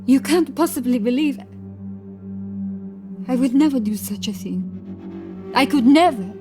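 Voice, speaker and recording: A woman's voice: high-pitched, expressive, a little slow, music in the background, voice pushed up. A woman voicing a stern, haughty prioress, defensive and emphatic, in a horror story. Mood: indignant.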